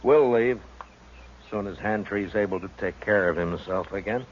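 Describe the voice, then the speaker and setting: An actor playing a stern US Marshal of the Old West in a 1950s radio western, with an American accent and terse, measured delivery. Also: male voice, lowish voice, lightly acted. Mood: firm, calm. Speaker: lead actor, also narrates the story. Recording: muffled, noisy 1950s radio recording.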